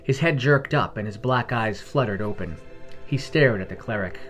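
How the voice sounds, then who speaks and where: A man's voice: fairly low pitch, moderate pace, fairly bright tone, soft background music. A man reading scripted fantasy fiction as the narrator.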